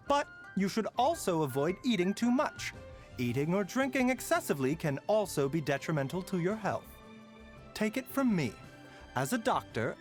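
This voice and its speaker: An actor playing a gentle, caring doctor in a game, soft-spoken and measured, with frequent sighs. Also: male voice, high voice. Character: gentle, caring male physician, middle-aged. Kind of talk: game character voice lines. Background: faint music.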